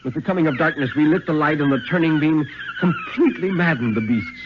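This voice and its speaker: A man giving a theatrical, suspenseful dramatic reading of a first-person thriller in 1950s radio style, with deliberate phrasing. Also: on the high side, wildly swooping pitch, slight background noise. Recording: dull vintage 1950s radio recording.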